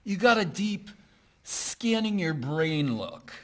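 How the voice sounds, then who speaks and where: An actor playing a smug, patronizing wealthy suitor. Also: male voice, high-pitched, very animated, slow, heavily acted. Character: smug condescending rich suitor. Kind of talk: stage-play acting.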